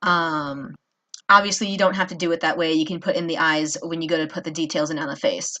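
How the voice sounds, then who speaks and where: A woman's voice: low voice, fairly even intonation, fairly quick, pushed and bright. A tired woman hosting a casual drawing tutorial, rambling with many fillers and apologizing as she works. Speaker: art tutorial host.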